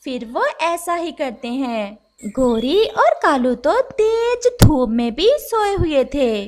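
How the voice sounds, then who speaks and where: Slow, high-voiced woman narrator. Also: big pitch swings, background noise. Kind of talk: scripted children's story narration in Hindi.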